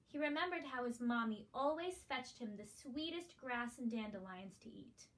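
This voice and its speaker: A woman's voice: a little high, expressive, moderate pace, a bit bright. A female guest reader reading a children's picture book aloud.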